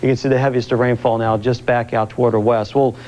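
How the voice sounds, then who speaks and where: A man's voice: medium pitch, little pitch movement, quick pace, darkish tone. An American man giving a live, ad-libbed weather report on television, explaining conditions and advising viewers. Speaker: TV weather forecaster. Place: TV studio. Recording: dull lo-fi VHS broadcast recording.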